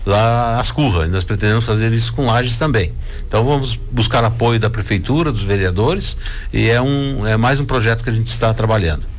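A man's voice: mid-range voice, a little fast, a little hiss or hum. Hesitant male club president explaining plans. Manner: hesitant, many fillers and restarts, explanatory. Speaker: president of an Italian cultural club. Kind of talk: radio interview guest.

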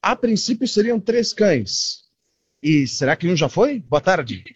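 A man's voice: high voice, big pitch swings, a little slow, husky, no room sound. A man working as a radio reporter, giving a live report from the field. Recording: dull lo-fi remote radio link.

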